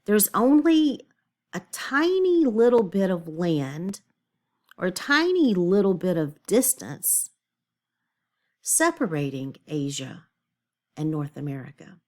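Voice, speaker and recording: Insegnante donna dalla voce bassa, molto espressiva. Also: female voice, lively intonation, slow, long pauses. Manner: didactic, checks understanding, explains words simply. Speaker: teacher reading aloud to children. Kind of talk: read-aloud lesson with explanatory asides.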